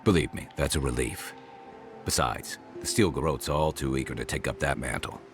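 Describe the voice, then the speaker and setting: Deep-voiced, wry adventurer. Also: male voice, moderate pace, soft background music. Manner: dry, sardonic, colloquial. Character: wry, wary male travelling companion, seasoned and colloquial. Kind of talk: naturalistic game voice acting.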